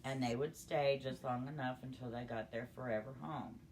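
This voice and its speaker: Older female storyteller, folksy and plain-spoken, relaxed chatty delivery, slightly noisy amateur recording. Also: extremely low pitch, moderate pace. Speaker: storytelling guest. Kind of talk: casual storytelling.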